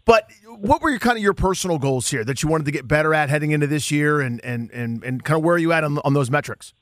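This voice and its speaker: Male sports co-host, quick, slightly high voice, chatty and slangy, close studio sound. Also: expressive, no room sound. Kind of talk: casual, chatty interview. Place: close dry radio studio.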